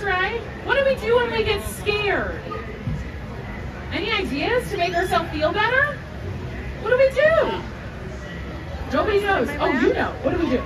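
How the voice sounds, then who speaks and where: A young woman hosting a live children's story time, projecting to a crowd and coaxing them along in an animated way. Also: very high-pitched. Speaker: theme park story time host. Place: outdoor theme park stage. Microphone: audience phone camera from several meters. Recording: distant phone recording, noisy outdoor crowd, echoey.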